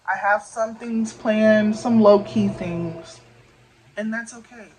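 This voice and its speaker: A woman's voice: mid-range voice, fairly even intonation, slow pace, a little mellow, a little hiss or hum, low-quality recording. A woman in her twenties talking casually to camera in a vlog, thinking aloud and often asking "you know?". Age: 20s. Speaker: vlogger.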